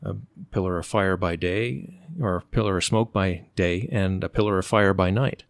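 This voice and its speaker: A middle-aged man from the United States, telling stories in an unhurried, thoughtful way and pausing to find his words. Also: low voice, fairly even intonation, no room sound. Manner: unhurried, thoughtful, often pauses to search for words. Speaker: interview guest. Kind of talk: relaxed conversational storytelling.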